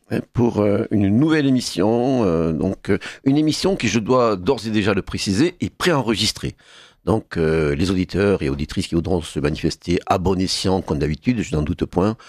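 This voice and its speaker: A man's voice: on the low side, a little mellow, no room sound, studio quality. Hesitant man with a Languedoc accent. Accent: Languedoc French. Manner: measured, hesitant, many fillers. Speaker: radio host. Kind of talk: radio talk show. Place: radio studio. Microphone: studio microphone.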